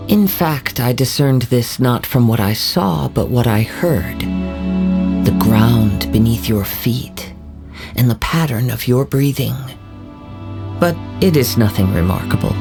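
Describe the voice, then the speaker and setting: A man voicing a calm young wandering samurai who speaks in a formal, measured, courteous way. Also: medium pitch, gravelly. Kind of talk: video game voice acting.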